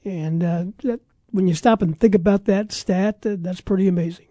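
Mellow American sportscaster, man, dry close sound. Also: high voice, normal speed, dry recording. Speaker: sports radio talk show host. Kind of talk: casual sports talk and score rundown.

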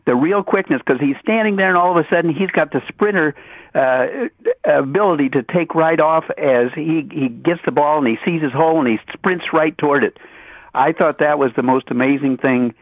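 Male sports-show caller, slightly high voice, rambling and repetitive delivery, thin phone-band sound. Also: no echo. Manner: halting, wordy, repeats himself. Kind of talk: casual sports talk. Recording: dull band-limited phone call on radio.

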